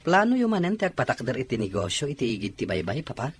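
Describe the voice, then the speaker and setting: A man's voice: mid-range voice, big pitch swings, fast, natural voice. A man playing a polite, considerate young son who is deferential and easygoing, in a radio drama. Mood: agreeable. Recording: clearly noisy, outdoor ambience behind.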